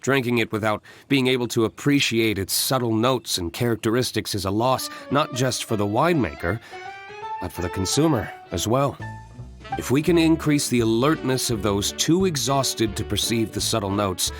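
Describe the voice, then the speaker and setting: An actor playing a composed, courteous young winery owner in a video game, measured and businesslike. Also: male voice, mid-range voice, animated, music in the background, close to an everyday voice. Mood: calm, explanatory.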